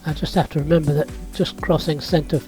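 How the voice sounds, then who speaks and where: A man, hoarse and croaky from being sick, casually narrating a road trip and drone flight with easygoing fillers. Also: a little high, steady tone, brisk, mellow, soft background music. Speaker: vlog host. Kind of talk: casual travel vlog narration.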